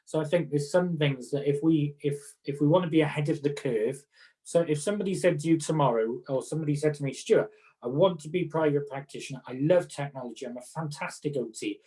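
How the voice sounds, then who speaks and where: A man's voice: a little high, brisk, dark tone, low-quality recording. A middle-aged man with a Welsh accent giving informal, explanatory advice to fellow professionals as an occupational therapist, chatting through practical examples. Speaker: occupational therapist and conference speaker.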